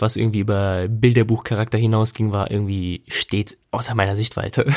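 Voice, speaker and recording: Casual, emphatic male podcast host. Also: fairly low pitch. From Germany. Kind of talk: casual, reflective solo podcast talk.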